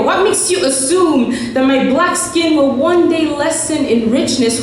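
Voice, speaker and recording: Haitian-born woman doing slow spoken word poetry, far off in a big echoey hall. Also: a little hiss or hum. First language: French. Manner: rhythmic, emphatic recitation, higher and more forceful when reciting. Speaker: poet. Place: large echoey festival hall.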